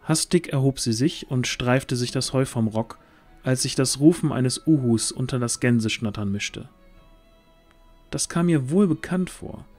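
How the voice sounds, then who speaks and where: Male narrator for fantasy audio drama: medium pitch, scripted storytelling, light music bed. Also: unhurried, a little mellow.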